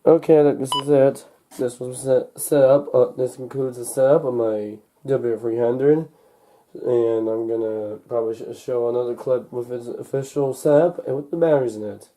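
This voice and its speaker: A young man recovering from a cold, narrating a casual unboxing in a halting, unscripted way, thinking aloud as he goes. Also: mid-range voice, steady tone, warm tone. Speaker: hobbyist vlogger. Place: indoors at home.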